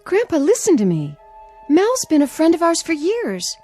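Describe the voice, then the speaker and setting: An actress playing a worried, gentle young wife and granddaughter in a drama, speaking earnestly and pleadingly. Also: female voice, very high voice, huge pitch swings, moderate pace, faint music behind, full character voice. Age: young adult. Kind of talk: dramatic acting.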